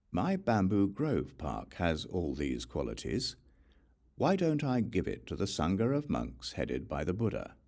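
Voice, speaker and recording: A man reading Buddhist scripture as a scripted audiobook narration, in a measured, solemn way with deliberate phrasing. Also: low-pitched, darkish tone.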